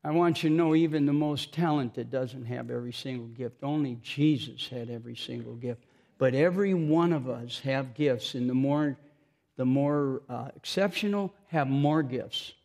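A man's voice: mid-range voice, normal speed, creaky, a little mellow. A man preaching an informal sermon, chatty and self-mocking, joking with the congregation between scripture readings.

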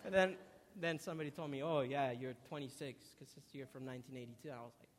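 A man's voice: medium pitch, steady tone, quick pace, a little hiss or hum. A man in his twenties preaching a sermon emphatically to a congregation, repeating key words and putting questions to his listeners. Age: 20s. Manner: emphatic, repeating key words, swinging from loud stresses to hushed asides. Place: large indoor hall before a congregation. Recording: reverberant hall, long echo.

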